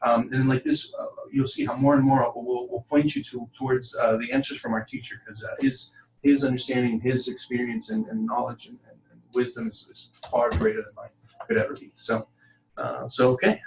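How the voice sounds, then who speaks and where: Male teacher, conversational and halting, small-group lesson. Distant mic, slight background noise. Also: medium pitch, lively intonation. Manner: halting, conversational, addresses listeners as friends. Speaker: class teacher.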